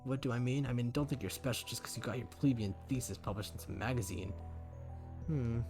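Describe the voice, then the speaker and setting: A man voicing a cruel, jealous older scientist who sneers coldly and then shouts at his daughter. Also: medium pitch, a little fast, over music, natural voice. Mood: sneering. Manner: cold, sneering, explodes into shouting.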